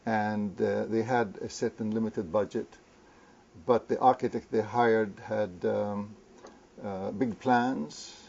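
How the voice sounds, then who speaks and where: Older man from Lebanon, low gravelly tone, halting delivery full of pauses. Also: fairly even intonation, darkish tone. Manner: hesitant, many pauses and fillers. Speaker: interview guest, community founder. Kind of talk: conversational interview. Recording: muffled lo-fi recording.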